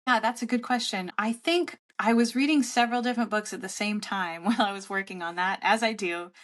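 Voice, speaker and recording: A woman's voice: medium pitch, fairly quick. A woman guest on a podcast interview, talking candidly and often laughing at herself. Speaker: guest, relationship author.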